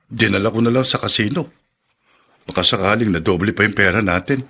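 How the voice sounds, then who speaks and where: A man's voice: fairly low pitch, fairly quick, bright, forceful tone, slightly rough, full character voice. A man playing a fatalistic, self-pitying husband in a radio drama, complaining melodramatically in a Filipino accent. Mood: bitter, glum. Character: bitter, fatalistic, self-pitying husband fallen on hard times.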